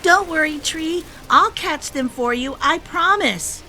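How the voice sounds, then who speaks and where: Lady doing a worried little boy fox for a kids story, higher voice, real earnest and lively, music behind. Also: slow pace, exaggerated voice. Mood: reassuring, earnest. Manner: earnest, pleading. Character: earnest, worried young fox, male, gentle and caring. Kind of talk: children's storybook character voice.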